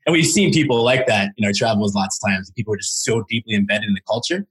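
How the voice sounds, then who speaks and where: A man speaking as an interview guest, explaining and telling anecdotes in an informal, animated way with acted-out asides. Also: lowish voice, quick pace, low-quality recording. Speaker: interview guest, language coach.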